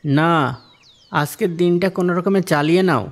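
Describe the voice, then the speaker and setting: A man voicing a poor, patient, devout and generous husband in a moral-tale cartoon, speaking softly and humbly. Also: high voice, normal speed, natural voice.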